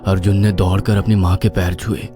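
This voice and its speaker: A man's voice: low-pitched, level tone, normal speed, over music. A man narrating a scripted horror story in a dramatic style.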